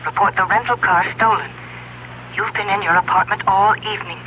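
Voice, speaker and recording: A woman's voice: mid-range voice, brisk, lightly acted. Cold commanding woman played by an actress, tinny line. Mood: cold, insistent. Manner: crisp, imperative orders. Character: cold, commanding, scheming female fiancée plotting murder. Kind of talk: 1970s radio drama acting. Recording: tinny phone line, old radio hum.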